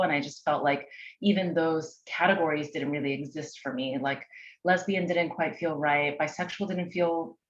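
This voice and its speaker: Low-voiced woman from Japan, dull audio. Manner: soft-spoken, thoughtful, hesitant with many fillers. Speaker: panelist. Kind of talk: personal storytelling on a panel.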